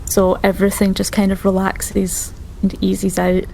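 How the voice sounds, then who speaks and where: Woman player with a creaky voice. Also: medium pitch, completely flat delivery, warm tone, slight background noise, poor audio. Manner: thoughtful, halting delivery. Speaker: tabletop game player. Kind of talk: casual improvised roleplay description.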